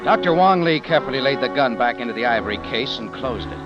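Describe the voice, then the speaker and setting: Man as a hard-boiled radio hero, very animated pitch. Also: fast, lightly acted. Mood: brooding narration. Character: terse, hard-boiled male adventurer hero, world-weary. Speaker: protagonist and narrator. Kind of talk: 1940s radio noir acting. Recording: dull vintage radio recording, music behind.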